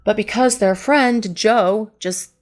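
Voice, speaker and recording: Hoarse woman doing a tarot reading. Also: medium pitch, takes it slowly. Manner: earnest, chatty, pleading questions to the cards. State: hoarse, losing her voice from allergies. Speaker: tarot reader. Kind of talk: channeled love tarot reading to camera.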